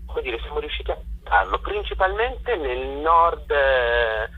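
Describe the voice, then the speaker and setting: A man's voice: on the high side, a little slow, vocal fry, phone-quality sound. A man answering interview questions on the radio as a theatre director and actor, heard as if over a telephone.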